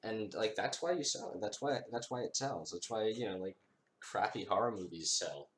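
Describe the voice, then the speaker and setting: Deep-voiced young man, echoey room. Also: animated, a bit bright. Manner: filler-heavy, laughing, quick explainer. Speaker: podcast co-host. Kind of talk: casual podcast chat. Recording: echoey room, faint hiss.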